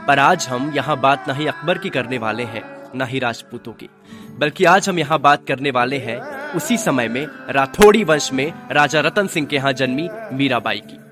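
Guy narrating a radio drama story, medium pitch, music clearly under him. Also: animated, normal speed.

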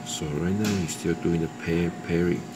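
A man presenting an unscripted hands-on electronics demonstration, halting and repeating words, in non-native, broken phrasing. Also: low-pitched, very noisy. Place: indoors at a desk with audio gear. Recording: music playing behind the voice. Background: music from the demonstrated audio player.

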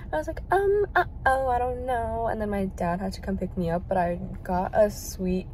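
A young woman chatting casually to her camera from inside a parked car, relaxed and full of ums and likes. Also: medium pitch, normal speed, slight background noise. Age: young adult. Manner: relaxed, filler-heavy, trailing off. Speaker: vlogger. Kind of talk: casual vlog chat.

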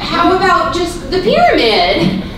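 An actress playing a gentle, patient woman who trains a pupil, coaxing and sing-song, in a live stage play. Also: very high voice, wildly swooping pitch, a little slow, crowd noise, heavily acted. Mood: coaxing, sweet. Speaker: stage actor. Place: stage in a small auditorium. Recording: echoey hall, distant mic, noisy.

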